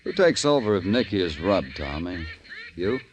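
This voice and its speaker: World-weary, low-voiced hardboiled detective. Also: male voice, sing-song, moderate pace. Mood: dry, needling. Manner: terse, wry, brooding delivery. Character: world-weary male big-city police detective narrator. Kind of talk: 1950s radio noir acting, hardboiled narration. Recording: old radio recording with music.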